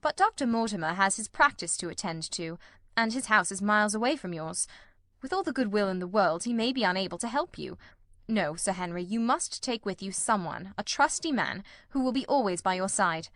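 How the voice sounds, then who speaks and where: A woman voicing a self-assured, authoritative male gentleman detective in an audiobook story. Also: medium pitch, lively intonation, a little fast, no room sound, clean studio sound, lightly acted. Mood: decisive.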